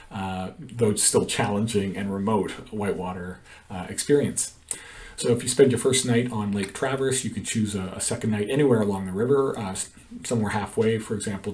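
A man's voice: fairly low pitch, a little mellow, recorded from a distance. A middle-aged man explains a canoe route over a shared screen, talking in a hesitant way with many ums and uhs. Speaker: canoe trip guide. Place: indoor room.

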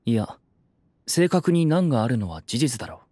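An actor playing a stern, haughty young male idol student with a formal, measured delivery in a Japanese audio drama. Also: medium pitch, very animated, warm tone, slightly rough, no room sound, clean studio sound. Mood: dry, cool.

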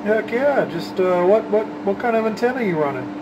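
A man with a slightly high-pitched voice talks in a loose, chatty, slangy way, like a radio hobbyist on the CB. A clearly audible background noise sits behind him. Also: expressive, a little fast, lo-fi sound. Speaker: CB radio operator, channel host. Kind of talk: casual CB radio chat.

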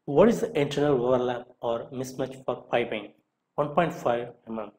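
A man narrating a scripted question-and-answer lesson as an instructor, reading out each question and short answer deliberately. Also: medium pitch, darkish tone, rough recording.

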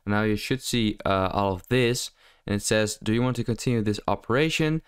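A man narrates a casual how-to in a smooth, slightly low voice. His delivery is hesitant and loosely improvised, as if explaining while he works. The sound is close and dry. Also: fairly quick, dry recording. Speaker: tutorial host. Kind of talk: casual software tutorial voice-over. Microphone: close mic, screen-recording voice-over.

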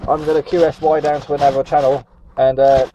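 Muffled radio audio carries a man from Northumberland, England, with a slightly high voice. He chats amiably as a CB operator, dropping radio jargon into casual talk. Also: flat delivery, brisk, warm tone, a little hiss or hum. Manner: friendly, chatty, uses radio jargon. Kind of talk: casual radio contact chat.

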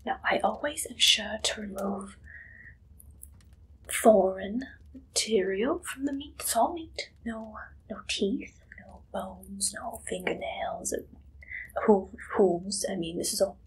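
Woman as shifty Victorian female pie seller for ASMR roleplay: breathy half-whisper, slow, coy and eager. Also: medium pitch, poor audio. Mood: secretive, flustered. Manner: halting, coy, secretive. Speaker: ASMR performer. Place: small dim indoor room.

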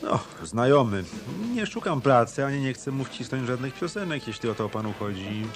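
A man's voice: medium pitch, animated, normal speed, over music, lightly acted. A male actor playing a tough, wry hotel detective in a hard-boiled Polish radio play. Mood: firm, insistent.

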